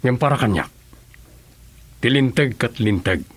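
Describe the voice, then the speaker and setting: A man's voice: mid-range voice, animated, measured pace, heavy background noise, close to an everyday voice. A man acting a firm, principled defender of the law in an Ilocano radio drama.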